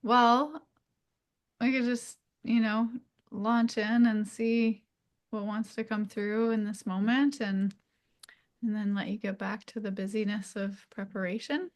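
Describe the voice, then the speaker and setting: A woman hosting a casual interview over a video call, gentle and meandering, with many fillers. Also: medium pitch, level tone, unhurried. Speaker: host, interviewer.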